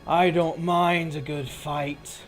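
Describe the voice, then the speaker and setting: A man's voice: on the high side, flat delivery, takes it slowly, faint music behind. Man playing a haughty, menacing armored duelist. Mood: proud, menacing. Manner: formal, taunting speech. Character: haughty armored enemy warrior, honorable but menacing duelist.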